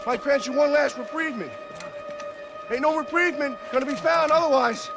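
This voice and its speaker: A man's voice: extremely high pitch, a little fast, creaky. An actor playing an aggressive, agitated male thug making menacing threats in a video game. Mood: agitated, threatening. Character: aggressive, hot-tempered male street thug with a gun. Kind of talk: video game dramatic acting. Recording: loud game music behind.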